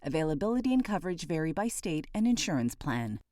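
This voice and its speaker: Woman reading an ad, lively intonation. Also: sits low, quick pace, dry recording, studio quality. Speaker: ad announcer. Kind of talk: scripted ad read.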